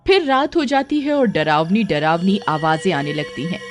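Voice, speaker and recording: A woman's voice: fairly low pitch, expressive, normal speed, a little hiss or hum. A woman giving a scripted, dramatic narration of a story.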